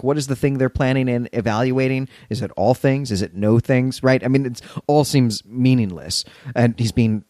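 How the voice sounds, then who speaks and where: A man's voice: medium pitch, a little mellow, dry recording. Wry man recapping a story, dry close sound. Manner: wry, sardonic asides. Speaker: podcast co-host. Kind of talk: conversational story recap with commentary.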